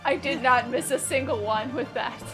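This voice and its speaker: A woman's voice: high voice, normal speed. A woman hosting a gaming video, giving casual, joking, teasing commentary as she plays. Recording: faint game music behind.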